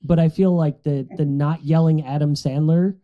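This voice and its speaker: A man giving measured, even-handed opinions in a casual podcast panel chat. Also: on the high side, phone-quality sound. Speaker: podcast co-host.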